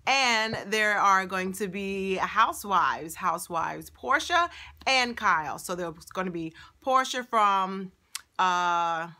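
A woman in her forties with a hoarse, raspy voice from a night of laughing, chatting upbeat to a phone camera held at arm's length in a car and an office. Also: mid-range voice, animated, sharp tone. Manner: chatty and upbeat, drawn-out phrasing. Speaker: vlogger. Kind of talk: casual vlog to camera. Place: parked car, then an office.

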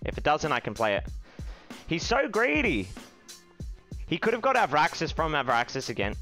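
Man, young, card game streamer, lively pitch, bright edgy voice, foul-mouthed, faint music behind. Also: normal speed. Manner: profane, excitable, shouting when upset. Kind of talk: live game stream commentary. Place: small room indoors.

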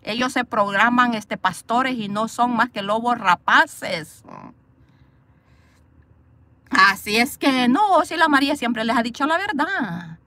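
A woman's voice: fairly high pitch, expressive, takes it slowly, bright, forceful tone, dry recording. A woman vlogging from a parked car into her phone, bluntly scolding and gossiping as she reads live comments. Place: parked car, outdoors view. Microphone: phone camera.

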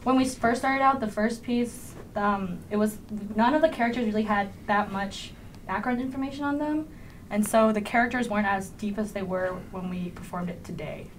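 A woman's voice: mid-range voice, normal speed, poor audio. A young woman student actor speaking casually as an interview guest. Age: teens to young adult, high school student. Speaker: student cast member being interviewed. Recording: faint background room noise.

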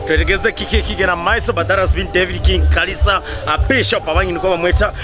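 A man's voice: sits high, steady tone, fast. A man interviewing a guest outdoors at a gathering, asking short questions and murmuring along. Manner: short prompts and affirming murmurs. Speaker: interviewer, event reporter. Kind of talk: casual field interview. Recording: music playing behind.

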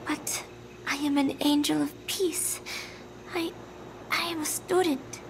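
A woman playing a gentle, confused young student in a video game, speaking softly and hesitantly and trailing off. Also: high voice, steady tone, slow pace, creaky, background noise, exaggerated voice. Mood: confused, weary. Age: young adult. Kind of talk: dramatic video game acting.

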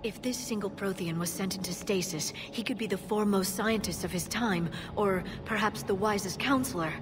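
A woman voicing an earnest young female alien scientist who explains things calmly, in a video game cutscene. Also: mid-range voice, normal speed, natural voice. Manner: calm, explanatory. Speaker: archaeologist companion. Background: game music.

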